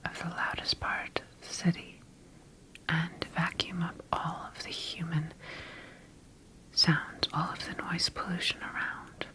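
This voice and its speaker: A woman playing a clinical sci-fi scientist in a whispered ASMR roleplay, dictating observations in a measured, breathy voice. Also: fairly low pitch, level tone, sharp tone, rough recording. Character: clinical female sci-fi scientist studying her engineered creature.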